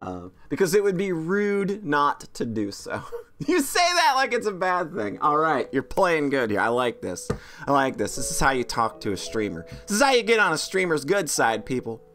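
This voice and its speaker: Lively joking woman streamer. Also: low voice, normal speed, faint music behind. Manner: self-deprecating joking, chats with viewers. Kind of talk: casual game stream chat.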